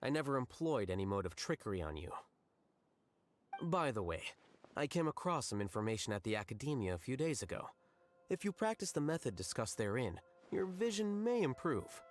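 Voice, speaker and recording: A man playing an aloof young scholar who talks in a calm, precise and cutting way. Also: mid-range voice, fairly quick, close to an everyday voice. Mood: cool. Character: aloof young male scholar, cold and blunt. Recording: game audio with faint music.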